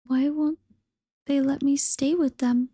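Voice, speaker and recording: A woman's voice: high-pitched, fairly even intonation, slow, dry recording, clean studio sound. A woman voicing a sad, lonely young male duckling in a whispered storybook reading. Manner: soft whispery, sorrowful.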